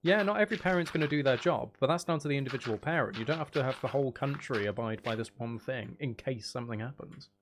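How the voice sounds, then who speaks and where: A man's voice: mid-range voice, fast talker, few pauses, background noise. A man hosting a livestream, chatting casually in a sarcastic, exasperated ranting manner. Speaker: livestream host.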